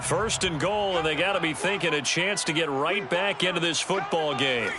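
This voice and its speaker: High-voiced football play-by-play announcer played by a man. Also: normal speed, over loud music, natural voice. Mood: focused, brisk. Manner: clipped announcer phrasing, emphatic on big plays. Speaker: play-by-play commentator. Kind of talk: scripted football video game commentary. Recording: loud stadium noise behind the voice. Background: stadium ambience.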